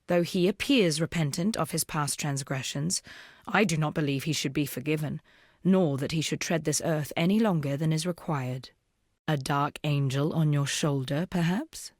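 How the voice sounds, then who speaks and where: Low-voiced, solemn fantasy rebel woman. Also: a little mellow, no echo, clean studio sound. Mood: mournful, uneasy. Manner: formal, measured, archaic phrasing. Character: solemn, proud female rebel survivor in dark fantasy. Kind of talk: scripted video game character dialogue.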